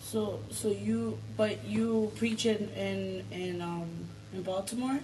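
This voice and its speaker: A woman's voice: medium pitch, steady tone, slow pace, darkish tone, far from the mic, slight background noise, low-quality recording. A woman from Miami interviewing a pastor, with hesitant, stumbling starts. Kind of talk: casual interview questions.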